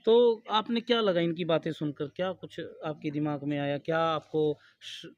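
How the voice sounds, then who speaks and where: A man's voice: a little high, steady tone, normal speed, dry recording, muffled recording. A male local news reporter speaks. The sound is dry and close, and he moves between addressing viewers and putting informal questions to an interviewee.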